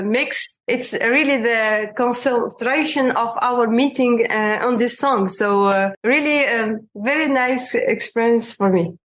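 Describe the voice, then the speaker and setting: A woman from Algeria, speaking English as an in a podcast interview, pausing often, searching for words and laughing readily. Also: fairly high pitch. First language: Arabic. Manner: halting non-native English with many pauses and fillers; laughs often while telling stories. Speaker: guest singer-songwriter.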